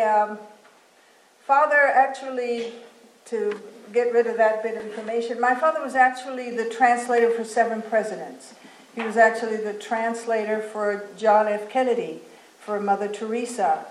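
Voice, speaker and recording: Venezuelan-born woman telling her story. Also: on the high side. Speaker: guest speaker. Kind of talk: personal storytelling talk. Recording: echoey hall with faint noise.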